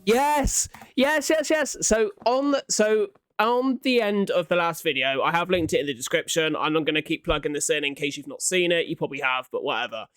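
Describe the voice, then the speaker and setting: Higher-pitched young man reacting casually. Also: fairly quick, hardly any pauses, a bit bright, smooth, dry recording. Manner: informal, sweary, thinking aloud. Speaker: reaction video host.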